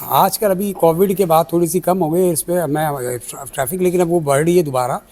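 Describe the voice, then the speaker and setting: A Pakistani-accented man with a high-pitched voice gives explanatory interview answers as an expert. He lays things out step by step, dropping English technical terms into his sentences. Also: brisk, darkish tone. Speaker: air traffic control chief, aviation expert. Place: air traffic control centre.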